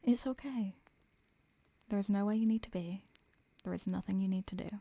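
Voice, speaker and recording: A woman playing an ancient, doting succubus in an intimate roleplay audio, speaking softly and gently in an affectionate, unhurried way. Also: mid-range voice, no room sound, natural voice. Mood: tender, soothing.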